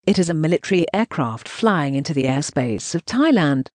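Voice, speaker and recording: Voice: mid-range voice, lively intonation, moderate pace, darkish tone, no room sound. A synthetic text-to-speech voice reading translated news narration in a choppy way, speaking punctuation marks aloud.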